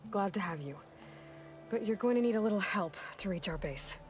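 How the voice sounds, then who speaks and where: A woman's voice: mid-range voice, expressive, a little fast, slight background noise, lightly acted. An actress playing a resolute young female hunter in a video game, firm and level, over game music. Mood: reassuring. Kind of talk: video game cutscene acting.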